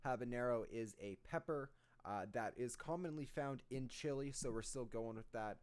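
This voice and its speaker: A man's voice: mid-range voice, normal speed, clean tone. A man hosting a rap reaction, casually explaining lyrics line by line with lots of fillers. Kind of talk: casual lyric breakdown commentary.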